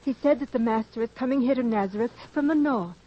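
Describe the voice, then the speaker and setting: An actress playing a calm, devout neighbor woman in a 1940s radio drama, speaking gently and earnestly with a breathy voice. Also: a little high, fast talker, natural voice. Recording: dull old radio recording.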